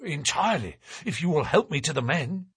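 A man's voice: fairly high pitch, very animated, fairly quick, a bit bright, breathy edge, no room sound, pitched above the performer's own voice, heavily acted. A man voicing a bluff, hearty middle-aged police detective.

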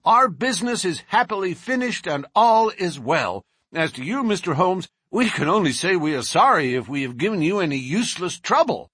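A man's voice: sits high, very animated, husky, dry recording, clean studio sound, voice pushed up, full character voice. A man voicing a brash American lawyer who pushes hard and talks in a hurry. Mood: pushy. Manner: forceful, hurried. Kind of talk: audiobook character reading.

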